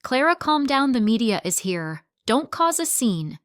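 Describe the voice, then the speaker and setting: A woman's voice: fairly high pitch, very animated, a little slow, no room sound, studio quality, pitched above the performer's own voice. A woman reading the lines of a young husband who argues back firmly and defensively. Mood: exasperated. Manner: firm, yelling back.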